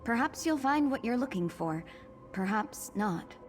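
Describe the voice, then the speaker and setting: A woman voicing a sheltered, mystical young sister in a video game, with formal, measured, enigmatic phrasing. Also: a little high, expressive, faint music behind. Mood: curious, enigmatic.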